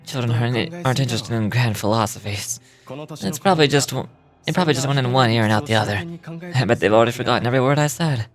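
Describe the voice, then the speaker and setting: A kindly, modest magician played by a man reads from his diary as a game character. His delivery is reflective, with an occasional stumble, over soft background music. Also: medium pitch, fast, lightly acted. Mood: concerned, reflective.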